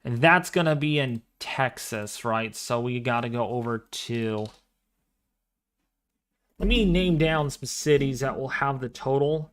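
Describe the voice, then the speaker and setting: A man in his twenties hosting a casual livestream, talking through an astronomy program with excitable exclamations as he thinks aloud. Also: mid-range voice, a little slow, clear, resonant tone. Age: 20s. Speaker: livestream host.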